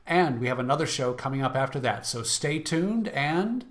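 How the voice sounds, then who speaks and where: Mid-pitched male radio show announcer. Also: lively intonation, normal speed. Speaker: announcer and host.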